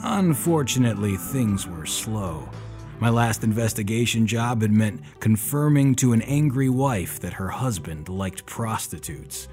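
An actor playing a world-weary, tough private detective in a noir audio drama, speaking in a dry, wry, unhurried way. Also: male voice, lowish voice, animated, a little mellow, faint music behind.

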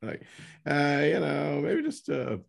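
A middle-aged man hosting a casual interview as an editor, talking in a rambling, halting way. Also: fairly high pitch, creaky. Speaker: podcast host, book editor.

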